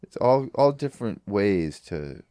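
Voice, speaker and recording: Very deep-voiced deadpan man. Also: no echo, studio quality. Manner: flat, halting delivery with many fillers. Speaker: podcast co-host. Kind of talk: deadpan comedy podcast banter, mock ad read.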